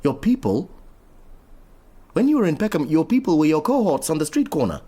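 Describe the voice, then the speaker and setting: A man's voice: on the high side, very animated, a little fast, warm tone, husky. An actor playing a middle-aged father in a radio drama, stern and exasperated, reproachful yet earnest with his estranged son.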